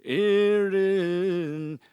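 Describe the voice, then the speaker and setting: A woman giving a solemn, drawn-out, mournful rendition of an old lyrical lament. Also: medium pitch, mellow, clear, resonant tone, no room sound.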